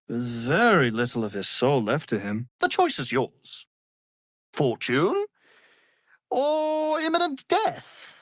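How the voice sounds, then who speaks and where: An arrogant, menacing wizard from a fantasy game, speaks in an unhurried, highly animated voice. He is pompous and condescending, delivering each phrase with theatrical relish. The recording is dry and close. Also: dry recording, heavily acted. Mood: smug, threatening. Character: arrogant, power-hungry male wizard, haughty and menacing.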